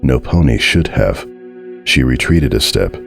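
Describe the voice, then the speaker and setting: A man giving a dramatic, scripted narration of a horror story in a measured, deliberate and suspenseful way. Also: very deep voice, lively intonation, creaky, faint music behind.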